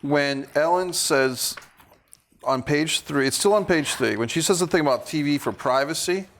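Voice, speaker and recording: Male director, fairly high voice with heavy creak, halting as he thinks through casual rehearsal notes. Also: fairly bright tone, slight background noise.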